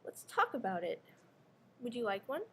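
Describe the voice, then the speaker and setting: Woman playing a sugary, controlling sinister mother, higher-pitched. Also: big pitch swings, moderate pace, a bit bright. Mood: sweetly coaxing. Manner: sugary, archly formal scolding. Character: sinister other mother, sweetly possessive and controlling. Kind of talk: readers theater performance. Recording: faint background noise, live ambience.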